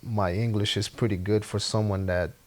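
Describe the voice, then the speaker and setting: A young man with a Spanish accent, speaking English as a second language as a guest in a relaxed interview, halting and repeating his words. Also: fairly low pitch. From Honduras. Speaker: podcast guest, former soccer player. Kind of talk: casual interview chat.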